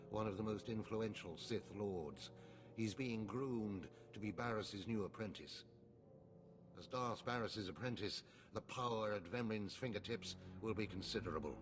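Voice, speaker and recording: An actor playing a stern, scheming older male mentor in a video game, grave, deliberate and commanding. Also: on the low side, close to an everyday voice. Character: stern, scheming older male Sith overseer and father, controlled.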